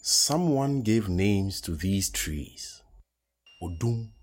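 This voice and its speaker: A man playing a dreamy wanderer of his own kingdom, reciting deliberately and poetically in a radio play. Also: lowish voice, expressive, no room sound, heavily acted. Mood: dreamy, incantatory. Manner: incantatory, deliberate, repeating phrases. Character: unhurried dreamy male wanderer who calls the land his kingdom.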